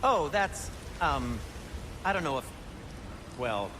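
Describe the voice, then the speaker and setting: Nervy dwarf craftsman for a game: highish voice, swooping intonation, fussy, storm noise behind. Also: sing-song, slow. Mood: fussy, nervous. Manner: hesitant, rambling, fussy. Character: fussy, squeamish male dwarf craftsman, nervously polite. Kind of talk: video game voice acting.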